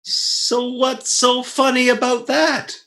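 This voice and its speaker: A man's voice: very high voice, little pitch movement, slow pace, gravelly, sharp tone, heavily acted. A man playing a jealous, dry, exasperated and boastful husband in a 1940s-style radio comedy, in an echoey room. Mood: jealous, indignant.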